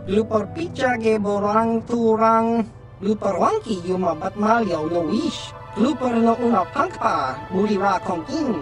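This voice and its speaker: A man's voice: high-pitched, measured pace, over music. A man voicing an agitated alien creature in a video game, babbling in a made-up tongue. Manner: repeats own name, babbling third-person speech. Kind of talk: video game character dialogue.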